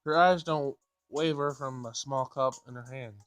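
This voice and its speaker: A man's voice: medium pitch, moderate pace, fairly bright tone, smooth, dry recording. A man hosting a gameplay recording, reading a visual novel aloud and adding casual commentary of his own. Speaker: gameplay host. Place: home screen recording. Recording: music behind the voice.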